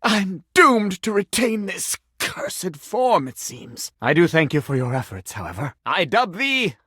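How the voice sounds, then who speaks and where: An enchanted male sink in a video game who believes he is a grand claims adjuster. He is pompous and melodramatic, with florid formal diction. His pitch leaps about freely, and the sound is close and dry. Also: very animated, unhurried, pushed and bright, husky, no echo, heavily acted. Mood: resigned, dramatic. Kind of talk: video game character acting.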